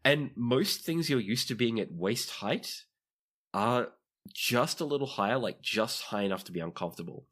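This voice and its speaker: A man's voice: mid-range voice, animated, moderate pace, a bit bright. A man improvising narration as game master of a tabletop roleplaying game.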